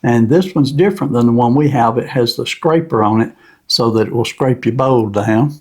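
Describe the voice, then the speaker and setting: A man's voice: medium pitch, moderate pace, darkish tone, slight background noise. An older man co-hosting a channel, plainly reading out viewer gifts and thanking each sender. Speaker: channel co-host. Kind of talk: casual chat reading viewer mail.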